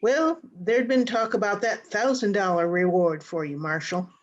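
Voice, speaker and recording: A woman's voice: medium pitch, moderate pace, close to an everyday voice. Woman playing a worried frontier widow, muffled sound. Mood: worried, pleading. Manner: plain rural Western speech, hesitant and apologetic. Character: worried, starving frontier widow searching for her son. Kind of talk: old-time radio western acting. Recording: dull, lo-fi video-call audio.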